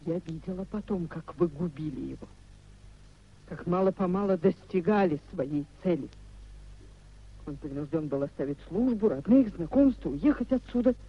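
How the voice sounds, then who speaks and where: An actress playing a proud, wounded wife who reproaches in a measured, declamatory 1950s radio drama style. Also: female voice, fairly low pitch, expressive, husky. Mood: grave reproach. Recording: dull 1950s radio recording.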